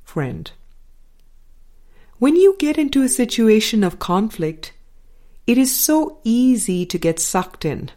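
A woman reading a scripted spiritual dialogue aloud in a measured, deliberate way, pausing between short phrases. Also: on the high side, very animated, dark tone. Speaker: audiobook reader.

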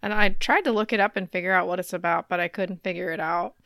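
A woman's voice: on the low side, fairly even intonation, fast talker, vocal fry, a bit bright, no room sound, clean studio sound. A woman in her thirties chatting casually and telling stories with wry humor as a podcast co-host. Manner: wry, laughs often.

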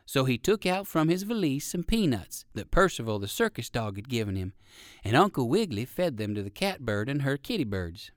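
A man narrating a children's storybook in an expressive way, with chatty asides to young listeners. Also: medium pitch, fairly quick, no echo, studio quality. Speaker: audiobook narrator.

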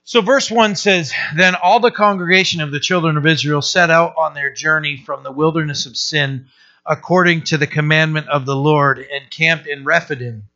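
A man with a scratchy, bright, forward voice teaches a Bible chapter as a pastor. He talks in a loose, casual way and stumbles through lots of fillers and restarts, now and then clearing his throat. The recording sounds a bit dull and lo-fi. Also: a little high. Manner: halting, many uh fillers and restarts.

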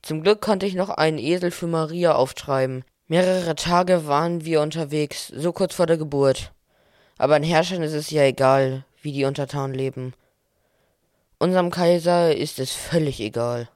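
A man's voice: fairly high pitch, moderate pace, darkish tone, lightly acted. A man playing a poor, humble, caring young father in a scripted nativity audio play, earnest and plain-spoken. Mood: weary, resentful.

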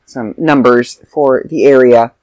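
A woman's voice: extremely low pitch, fairly even intonation, takes it slowly, a little mellow, no room sound. Stuffy, tired woman rambling to camera. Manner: rambling, self-interrupting. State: stuffy, slightly sore throat. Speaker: vlogger. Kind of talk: casual chat to camera. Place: at home.

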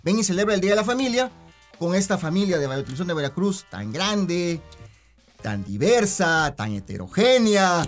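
A Mexican man with a high voice talks as the director in a broadcast interview. He explains things in a discursive, conversational way, over faint music. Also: sing-song, moderate pace.

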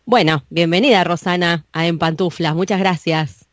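A woman with an Argentine accent hosting a casual podcast interview, chatty and quick to laugh. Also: lowish voice, no room sound, studio quality. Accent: Argentine Spanish. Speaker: podcast host.

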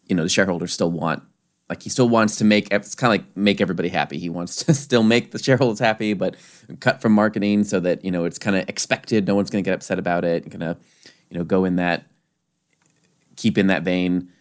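Deep-voiced man in casual chat. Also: fairly even intonation, fast talker. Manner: rambling, many restarts and "you know"s. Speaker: podcast co-host. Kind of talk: casual discussion.

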